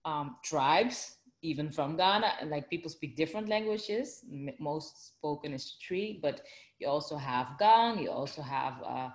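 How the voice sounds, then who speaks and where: A woman's voice: sits low, animated, a little slow, echoey room. A woman with a Dutch accent, chatting casually in an interview with lots of fillers and restarts. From Netherlands.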